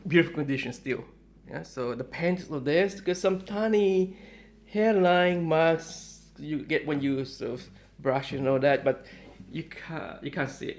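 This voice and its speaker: Rambling, friendly middle-aged man host. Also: fairly high pitch. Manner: rambling, friendly, many fillers and restarts. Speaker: guitar dealer and channel host. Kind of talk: casual chat to camera. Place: home in Melbourne, Australia.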